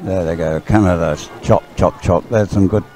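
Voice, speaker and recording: A man giving loose, halting live race commentary outdoors, often rambling and thinking aloud. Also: low-pitched, fairly even intonation, a faint crowd. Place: outdoors beside a race course. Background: music, loudspeakers at a sports venue.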